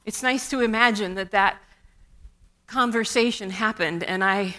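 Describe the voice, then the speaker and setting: A woman pastor giving a sermon, unhurried and measured, with wry asides, speaking English as a second language after Russian. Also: medium pitch, pushed and bright.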